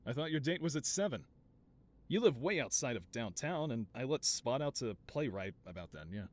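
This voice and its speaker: A man's voice: mid-range voice, big pitch swings, normal speed, a little mellow, natural voice. A man playing a flamboyant, doting mad scientist supervillain boss in a scripted audio roleplay, with a theatrical, wheedling, self-justifying manner. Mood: defensive, coaxing.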